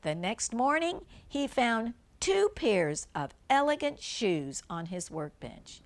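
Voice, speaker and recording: Older female storybook reader, grandmotherly, slow delivery, animated intonation, simple asides to a young listener. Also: fairly high pitch. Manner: simple explanations, gentle questions to a young listener. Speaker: grandmother reading a storybook to children. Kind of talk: read-aloud children's storybook with chatty asides.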